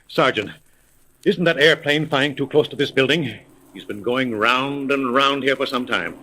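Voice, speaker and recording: Male actor as anxious gentleman, peevish, formal, sharp bright voice, vintage radio drama. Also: mid-range voice, normal speed, slight background noise, lightly acted. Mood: uneasy. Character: anxious, demanding gentleman client under threat. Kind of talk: 1930s radio serial acting.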